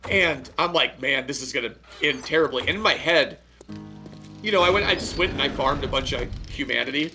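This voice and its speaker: A man with a very bright, forceful tone talks through a game in loose, rambling chat. His voice sounds worn from a recent cold. Faint music plays underneath. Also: medium pitch, fairly quick. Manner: rambling, restarts and chatty asides. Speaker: streamer and co-host. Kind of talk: casual gaming chat.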